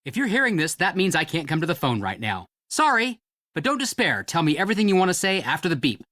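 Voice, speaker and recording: Affable young male, joking, casual, hugely varied pitch, dry voicemail-style game dialogue. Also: huge pitch swings, quick pace, sharp tone, no room sound, heavily acted. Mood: playful. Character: playful, friendly young man leaving voicemail greeting. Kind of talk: video game voice acting.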